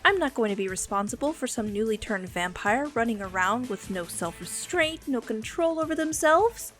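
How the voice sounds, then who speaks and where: A woman playing a bossy, protective immortal vampire in an ASMR roleplay, speaking with dry, commanding condescension. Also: on the high side. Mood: haughty, bossy. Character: bossy, protective female vampire, immortal, businesslike and dryly menacing. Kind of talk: scripted ASMR roleplay audio, addressing the listener.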